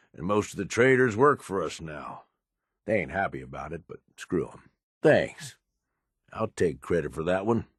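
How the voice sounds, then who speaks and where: An actor playing a blunt, cynical, hard-bitten raider lieutenant in a video game, talking tough and profane. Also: male voice, sits low, no echo, clean studio sound. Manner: blunt, profane tough talk with colloquial drawled grammar. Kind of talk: video game character dialogue.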